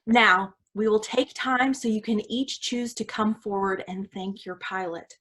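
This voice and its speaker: A woman in a staged play reading playing a composed, controlling ceremony leader, husky, measured and ceremonious. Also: medium pitch, steady tone, a bit bright. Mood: reverent, measured.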